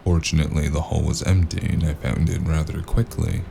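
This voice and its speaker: A man acts out a weary noir investigator with a rock-bottom, creaky voice. He is sardonic and deadpan, brooding through his audio drama narration over clearly audible background noise. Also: very deep voice, fairly quick, heavily acted. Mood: brooding, wry. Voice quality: gravelly.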